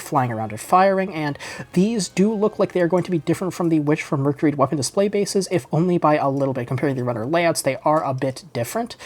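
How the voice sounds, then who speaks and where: A man's voice: a little high, a little mellow. A man with a congested voice from a cold, chatting through a detailed model kit review. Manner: chatty and detailed, fond of "like" and "of course". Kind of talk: unboxing and product review.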